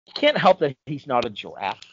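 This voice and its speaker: A man's voice: mid-range voice, sing-song, a little fast, slightly rough. A man in his thirties co-hosting a casual podcast panel, arguing his points in an emphatic, opinionated way. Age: 30s. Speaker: podcast co-host. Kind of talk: casual panel debate about game shows. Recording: dull, dry lo-fi recording.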